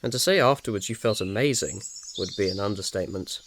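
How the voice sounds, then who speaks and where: Male narrator, lower pitch, scripted ASMR storytelling, faint outdoor noise with birds. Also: fast talker, fairly bright tone.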